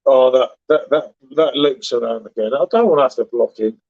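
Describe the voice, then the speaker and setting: A man's voice: mid-range voice, fairly quick, gravelly, darkish tone. Jokey man on lo-fi stream. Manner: jokey, banters while reading messages. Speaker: beer reviewer livestream host. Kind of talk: casual livestream chat. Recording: dull lo-fi livestream audio.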